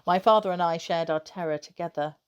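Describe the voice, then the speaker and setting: A woman reading a children's novel aloud, narrating expressively with measured storytelling emphasis. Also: fairly low pitch, clean tone.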